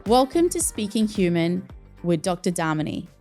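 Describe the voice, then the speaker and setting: A woman's voice: fairly low pitch, very animated, moderate pace, loud music behind the voice. A woman hosting a studio podcast, reading a scripted intro and then asking curious, conversational questions. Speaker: podcast host. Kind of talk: podcast interview.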